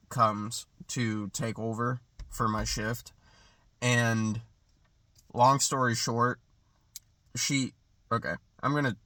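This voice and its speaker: A man's voice: fairly low pitch, bright, forceful tone, no room sound. A young man vlogging from his car, recounting a dream in a hesitant, rambling way with lots of fillers, a little congested from allergies. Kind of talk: casual rambling monologue. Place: in a parked car.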